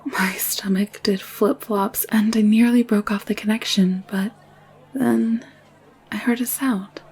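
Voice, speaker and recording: A woman playing an anxious, secretive young alien nurse in an intimate audio drama, confiding in a hushed, hesitant way. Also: mid-range voice, steady tone, a little slow, husky. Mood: haunted, searching. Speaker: voice actor in audio roleplay.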